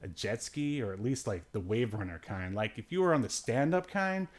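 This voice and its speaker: A man's voice: medium pitch, animated, normal speed. A man hosting a podcast, recapping in casual chat with a rambling, jokey, irreverent manner and offhand, slangy phrasing. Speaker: podcast host.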